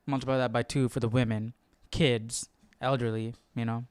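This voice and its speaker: Male study host: clear tone, dry sound, halting informal musing. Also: mid-range voice, flat delivery, darkish tone, no room sound. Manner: halting, musing, often tags remarks with "right?". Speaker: host of a Bible study livestream. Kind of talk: informal thinking-aloud commentary.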